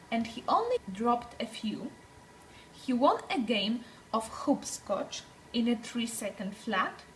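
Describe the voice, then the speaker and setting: A woman reads a children's story at a very unhurried pace. Her voice swings widely in pitch as she stresses words for dramatic effect. There is faint noise behind her. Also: low-quality recording. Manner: deliberate, dramatic phrasing with emphasized words. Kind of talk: expressive picture-book read-aloud for young children.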